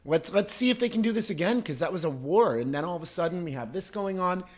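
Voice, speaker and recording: A man with a somewhat high voice hosts a casual podcast. He laughs easily and talks through long, winding questions to his guest. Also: expressive, fast talker. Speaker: podcast host.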